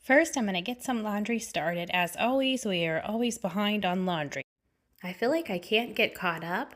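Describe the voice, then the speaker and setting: A middle-aged woman with a raspy, hoarse voice from a cold, chatting casually with lots of ums while showing her house and porch. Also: medium pitch. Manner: chatty, rambling, frequent ums. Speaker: home vlogger. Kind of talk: casual home tour chat.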